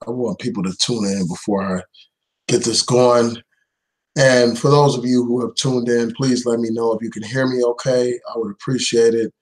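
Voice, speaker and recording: A man hosts his own live stream with a voice worn hoarse by a cold. He talks in earnest and leans hard on his points. His pitch sits in the middle range for a man. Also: rough recording. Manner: earnest, emphatic, speaks out without fear. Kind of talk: political commentary live stream.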